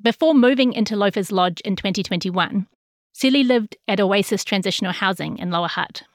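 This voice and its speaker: A woman's voice: medium pitch, a little fast, dry recording, clean studio sound. A woman with a New Zealand accent reading a scripted news feature as a journalist. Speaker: journalist narrating a feature story. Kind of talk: scripted narration.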